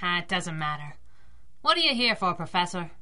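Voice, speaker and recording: A woman playing a scrappy, sharp-tongued young cab driver in a 1930s-style radio drama, brash and wisecracking. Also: on the low side, sing-song, fairly quick. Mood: guarded, defiant.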